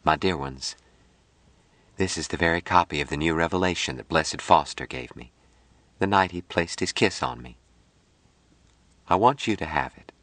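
A man voicing a kindly, devout middle-aged lady in an audiobook story, motherly and earnest, with a soft, solemn, folksy manner. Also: very deep voice, moderate pace, long pauses, dry recording.

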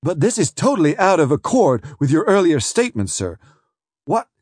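Hostile male prosecuting counsel, insistent and accusing, pitched high, husky, voiced by a male narrator. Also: sing-song, normal speed, a little mellow, dry recording, studio quality. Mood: pressing.

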